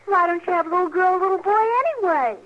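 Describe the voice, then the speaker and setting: A woman's voice: very high voice, fast, background noise. A woman playing an inquisitive, plain-spoken little frontier girl in an old-time radio western, with a persistent, childlike manner. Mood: insistent. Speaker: radio drama actor. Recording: muffled old radio recording.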